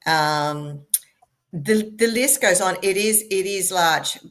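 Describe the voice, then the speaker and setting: A woman guest on a talk show, speaking in a measured way with frequent ums. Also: lowish voice, a bit bright.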